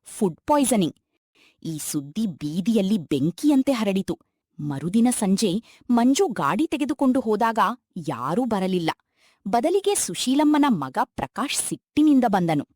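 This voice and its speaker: A woman's voice: mid-range voice, sing-song, a little slow, darkish tone, husky, dry recording, clean studio sound. A woman reading a scripted moral story as narrator.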